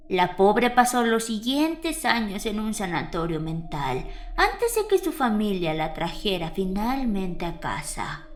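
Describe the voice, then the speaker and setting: Woman playing a slow, grim grandmother storyteller. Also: medium pitch, a bit bright. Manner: measured, dramatic storytelling with weighty pauses. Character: elderly grandmother recounting a grim horror tale. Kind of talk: dramatic horror audio fiction narration. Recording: eerie music behind the voice.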